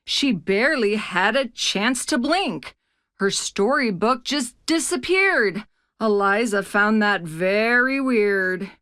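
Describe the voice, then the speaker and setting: A woman narrating a rhyming children's picture book as a read-aloud host, with a measured, expressive storytelling delivery. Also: mid-range voice, dry recording, studio quality.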